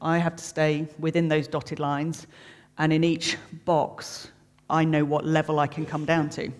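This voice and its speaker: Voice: medium pitch, flat delivery, a little slow, clear, resonant tone. A middle-aged air traffic controller giving an informal explanatory talk, plain-spoken and chatty, making technical detail simple. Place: reverberant room, live talk to an audience. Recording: long echoing hall reverb.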